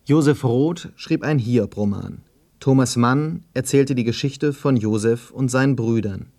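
Man, radio interviewer, average-pitched voice, scripted and formal delivery, measured and matter-of-fact. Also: mellow. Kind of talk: scripted narration and questions.

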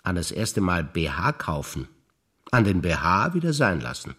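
An older man, a stage actor reciting memories in a rhythmic, deliberate listing manner with pauses. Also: low voice, very animated, pushed and bright, breathy edge.

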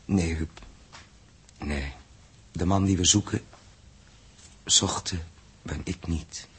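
Earnest space captain, very low and husky, terse delivery, sixties radio drama. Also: male voice, slow, full character voice. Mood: earnest, pained. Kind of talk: 1960s Dutch radio drama acting.

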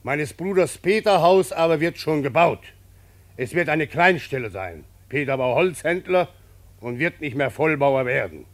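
An actor playing an old, plain-spoken peasant father in a radio play, with weighty, halting, declamatory phrasing. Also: fairly high pitch, lively intonation, lightly acted. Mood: solemn, decreeing. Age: older.

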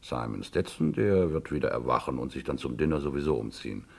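Very deep, pompous rogue philosopher. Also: male voice, lively intonation, brisk, full character voice. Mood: solemn, smooth-talking. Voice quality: gravelly, husky. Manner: grandiloquent, lecturing. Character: pompous, philosophizing rogue, self-styled aesthete with scruples. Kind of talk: German radio crime play acting.

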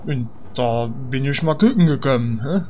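A man voicing a slow-witted, fretful and naive adventurer in a German audio drama parody. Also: mid-range voice, expressive, mellow, dull audio, slight background noise, close to an everyday voice. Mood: earnest. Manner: slow, dim, repetitive.

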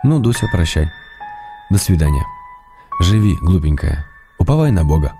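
An actor playing a middle-aged Russian writer, tender and wry, reading love letters aloud in a radio play. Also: male voice, low-pitched, sing-song. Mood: teasing, wistful. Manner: affectionate, gently teasing, measured. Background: music.

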